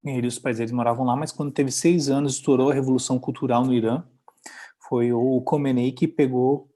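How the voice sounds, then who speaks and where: A man's voice: mid-range voice, warm tone. A man with a Brazilian accent, hosting an interview and interpreting for the guest in a conversational way. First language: Portuguese.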